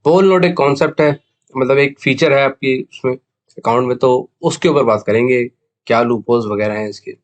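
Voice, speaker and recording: An Indian man talks as the host of an explainer video. His pitch sits in the middle range, and his throat is sore. He explains in a conversational way, switching between two languages. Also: normal speed, highs cut off. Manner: conversational Hindi-English code-mixing, explanatory. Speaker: video host, cricket betting tipster. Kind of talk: casual explainer monologue.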